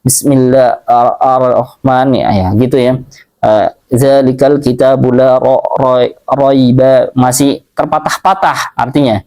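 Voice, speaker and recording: A male Islamic teacher giving a religious study lecture, explaining slowly, repeating phrases and reading Arabic passages aloud. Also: mid-range voice, steady tone, warm tone.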